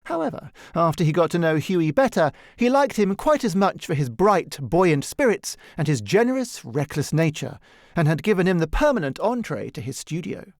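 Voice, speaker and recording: A man narrating a literary story from a script in an expressive, measured storytelling manner. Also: fairly high pitch, no echo, clean studio sound. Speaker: audiobook narrator.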